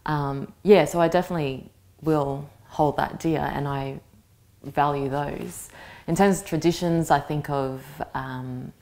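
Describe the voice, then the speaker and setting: A woman with a notably low voice, in her thirties, talks through her answers in an interview. Her first language is Vietnamese, and she speaks in a reflective way, pausing as she looks for the right words. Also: fairly even intonation, darkish tone. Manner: thoughtful, searching for words. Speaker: interviewee, actress. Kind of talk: reflective personal interview talk.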